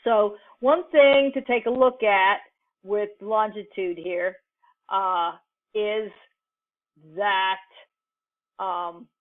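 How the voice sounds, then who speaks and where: Slow-paced woman instructor on phone-like audio. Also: medium pitch, steady tone. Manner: halting, deliberate, pausing mid-sentence. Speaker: computing instructor. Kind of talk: informal lecture, explaining an assignment step by step. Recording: muffled, phone-like narrowband audio.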